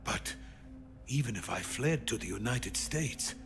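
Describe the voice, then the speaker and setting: An actor playing a weary, guilt-ridden middle-aged Russian rocket scientist in a video game, grave and deliberate. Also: male voice, medium pitch, expressive, music in the background, lightly acted. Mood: weary, bitter. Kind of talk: dramatic video game cutscene acting.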